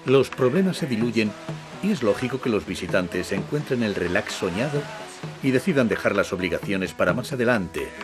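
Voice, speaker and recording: A man narrating a travel documentary in a measured, scripted style. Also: fairly low pitch, lively intonation. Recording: music bed under voice-over.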